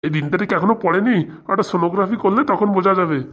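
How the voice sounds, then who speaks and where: A woman voicing a bashful, excited middle-aged boss and father-to-be in a comic cartoon, doting and chatty. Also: medium pitch, very fast, dull audio, close to an everyday voice. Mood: doting, eager.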